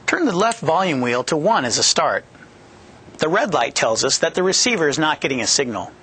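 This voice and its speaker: A man's voice: on the high side, sing-song, fairly quick, pushed and bright, slight background noise. A man in his thirties, a product manager, giving a scripted, upbeat training presentation on a studio set, speaking into a headset mic. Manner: upbeat, jokey, clearly explaining steps. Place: studio set with a yellow backdrop. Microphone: headset boom mic.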